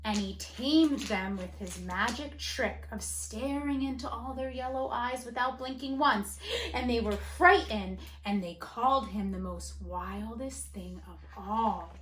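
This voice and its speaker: A woman teacher giving an expressive picture-book read-aloud for children, dramatic, with gasps, whispers and emphasis. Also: on the high side, slow pace, roomy sound, a little hiss or hum, poor audio. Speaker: elementary school teacher.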